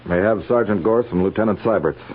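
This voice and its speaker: A man's voice: on the low side, a little fast, breathy edge, phone-quality sound. An American man, middle-aged, playing a stern, by-the-book cavalry major, formal and authoritative, in 1950s radio western acting. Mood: grudging, firm. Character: stern, by-the-book older male army major. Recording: thin band-limited old radio recording.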